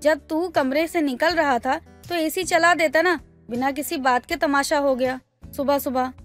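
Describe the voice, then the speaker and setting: A woman playing an older, peacemaking mother-in-law, placating yet commanding, in an animated family story. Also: sits high, a little slow, soft background music. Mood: firm, placating. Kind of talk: animated family drama dialogue.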